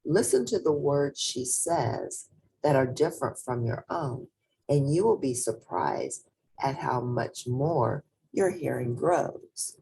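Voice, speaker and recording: Slow, low-voiced woman reading a storybook. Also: animated, creaky, dark tone, husky, rough recording. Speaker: reader of a children's picture book. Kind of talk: expressive storybook read-aloud.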